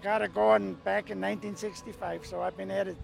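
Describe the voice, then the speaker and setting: An older man with a Swiss accent talks about his instrument at an outdoor festival. His voice is heavily gravelly and sits fairly high for a man. He speaks in a friendly, plain way, with pauses and restarts. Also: little pitch movement, faint music behind, poor audio. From Switzerland. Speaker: alphorn player. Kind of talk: casual outdoor interview.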